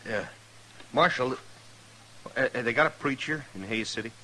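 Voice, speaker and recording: An actor playing an impulsive, lovestruck outlaw trying to reform in an old radio western, earnest, halting and folksy. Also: male voice, medium pitch, sharp tone, natural voice. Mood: hesitant, earnest. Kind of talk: old-time radio western drama acting. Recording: noisy vintage radio recording.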